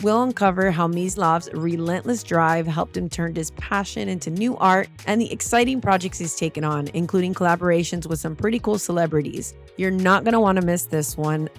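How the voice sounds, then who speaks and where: A woman hosting a podcast, giving an enthusiastic introduction and asking upbeat interview questions. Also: fairly low pitch. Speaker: podcast host.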